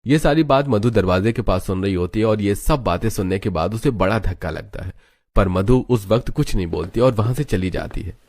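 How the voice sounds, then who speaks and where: Male story narrator, lowish pitch, dark relaxed timbre, scripted storytelling. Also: fairly quick.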